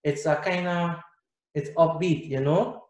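A man's voice: a little high, unhurried. Trinidadian man in an echoey room. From Trinidad and Tobago. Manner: animated, gestures with hands while explaining. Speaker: guest musician. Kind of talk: casual interview talk. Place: small room indoors. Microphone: video call webcam. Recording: echoey room on video call.

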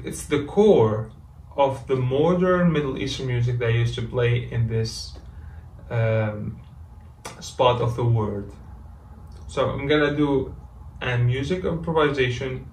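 Syrian-accented man in his thirties, earnest and halting, speaking to a distant camera in a small echoey room. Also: medium pitch. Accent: Syrian Arabic. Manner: warm, earnest, halting non-native phrasing. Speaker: musician. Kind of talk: introducing a performance to camera. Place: small room at home, indoors. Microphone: far camera. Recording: roomy sound from mic at distance.

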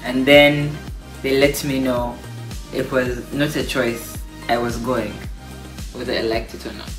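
A woman in her thirties from Uganda, a pastor giving lively, emphatic advice on a couch, repeating phrases for stress. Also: rumbling low, unhurried. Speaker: pastor and guest. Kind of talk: casual advice talk. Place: living room, on a couch. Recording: roomy echo, faint music.

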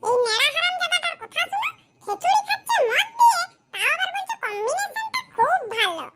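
A performer voicing a nagging, quarrelsome cartoon villager with a squeaky, pitch-shifted voice and a rural West Bengal accent. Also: sing-song, brisk, heavy background noise. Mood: indignant. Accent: rural western West Bengal. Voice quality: squeaky, artificially high-pitched. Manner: rustic, quarrelsome, blunt. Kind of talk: comic cartoon dialogue.

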